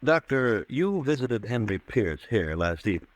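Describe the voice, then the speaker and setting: A man acting a measured district attorney's investigator in an old-time radio drama, formal and methodical. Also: mid-range voice, big pitch swings, slight background noise, natural voice. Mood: probing. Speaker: radio actor.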